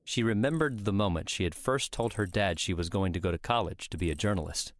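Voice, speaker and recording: A man's voice: deep voice, a little fast, no room sound. A man reading scripted story narration for an audiobook.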